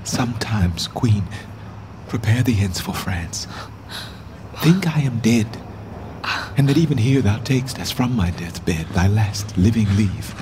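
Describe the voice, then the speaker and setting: Deep-voiced fallen, grieving king. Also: expressive, unhurried, long pauses, noisy background. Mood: sorrowful, resigned. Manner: formal, poetic, theatrical verse delivery. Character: deposed, grieving, bitter king of medieval England. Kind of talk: Shakespearean radio drama acting.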